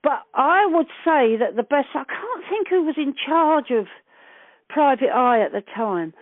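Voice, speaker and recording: Older female, high-pitched, plain-spoken and talkative, recalling old times down a dry, narrow phone line. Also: lively intonation, measured pace, dry recording. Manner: chatty, earthy and blunt, swears casually. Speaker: interviewee recalling past events. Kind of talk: casual phone reminiscence. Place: on a phone call. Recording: narrowband telephone call, dry.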